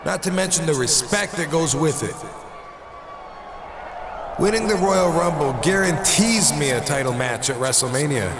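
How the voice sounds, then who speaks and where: A man's voice: fairly high pitch, expressive, moderate pace, long pauses, close to an everyday voice. A man playing a cocky, defiant wrestler making declamatory boasts in a video game promo. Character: cocky, defiant male wrestling champion-to-be, proud and unrepentant. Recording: noisy arena with loud crowd.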